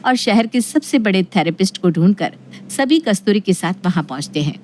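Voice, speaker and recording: A woman's voice: mid-range voice, animated, moderate pace, a little mellow, slight background noise. A woman narrator reading a scripted domestic moral story.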